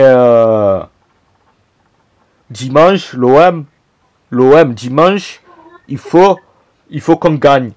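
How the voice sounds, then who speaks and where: A young man from Marseille with a Marseille French accent, ranting casually about football to his own camera in a slangy, repetitive way. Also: medium pitch. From Marseille, France. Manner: animated, repetitive, slangy, fired up. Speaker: football fan YouTuber. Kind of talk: casual vlog rant to camera. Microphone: camera mic, self-filmed.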